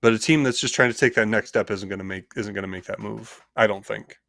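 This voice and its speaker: A man's voice: lowish voice, quick pace, vocal fry, a bit bright, no room sound, clean studio sound. A man co-hosting a casual sports talk show, rambling with many restarts and hedges. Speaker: co-host.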